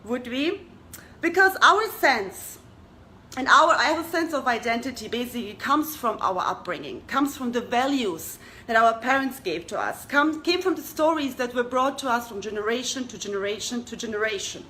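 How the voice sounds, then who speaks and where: A young woman hosting a live talk, her voice high and bright. She addresses the audience earnestly and poses rhetorical questions, with a faint hiss of noise behind her. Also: animated, moderate pace. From Germany. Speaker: talk show host.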